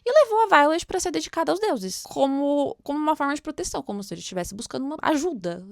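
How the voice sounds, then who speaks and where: Higher-pitched Brazilian woman, dry close sound. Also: quick pace, creaky, dry recording. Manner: conversational, expressive, explanatory, with rhetorical questions. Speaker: book commentary host. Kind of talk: casual read-along commentary with fan theories.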